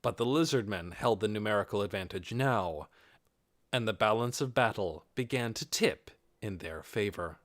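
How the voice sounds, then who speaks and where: A man reading scripted fantasy narration as an audiobook narrator, expressive and dramatic, with measured, deliberate phrasing. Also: lowish voice.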